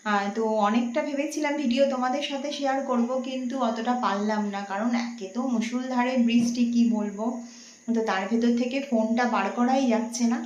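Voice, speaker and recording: A woman's voice: fairly high pitch, darkish tone, slight background noise, rough recording. A woman, narrating a casual vlog and chatting to viewers as friends. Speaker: vlogger.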